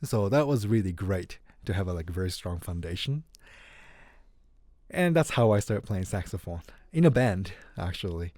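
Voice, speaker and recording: A man with a Japanese accent, whose first language is Japanese, telling his story as a guest in a casual interview in a relaxed, unhurried way. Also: deep voice, no room sound, studio quality. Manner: relaxed, unhurried, light grammar slips. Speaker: guest musician.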